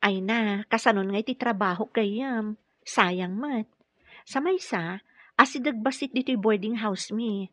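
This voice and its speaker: A woman's voice: medium pitch, measured pace, no room sound, clean studio sound, natural voice. An actress playing an earnest, respectful, patient female caregiver in a radio drama. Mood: friendly, hesitant. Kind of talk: Ilocano radio drama acting. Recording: dull, lo-fi radio drama audio.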